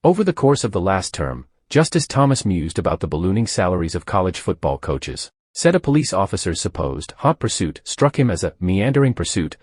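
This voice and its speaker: A man with a low, husky voice narrates a news story in a scripted read, his intonation rising and falling with a lot of movement. The sound is close and dry, with no room around it. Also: sing-song, a little fast, few pauses, darkish tone, no room sound.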